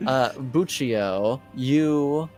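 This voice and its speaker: A man running a tabletop game as game master, improvising narration and often pausing to think mid-sentence. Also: medium pitch, a little mellow, faint music behind.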